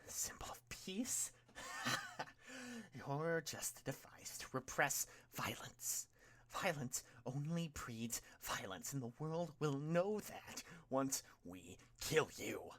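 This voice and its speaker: Breathy, ranting young villain, pitched up. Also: male voice, big pitch swings, a bit bright, exaggerated voice. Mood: mocking, angry. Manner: theatrical, drawn-out, mocking. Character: ranting young male villain, bitter, mocking, theatrical.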